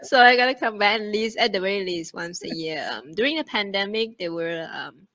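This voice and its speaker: Bright-voiced woman, chatty. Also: medium pitch, lively intonation, brisk, lo-fi sound. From Thailand. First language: Thai. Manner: chatty, many fillers, laughs often. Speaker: guest. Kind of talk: casual conversation.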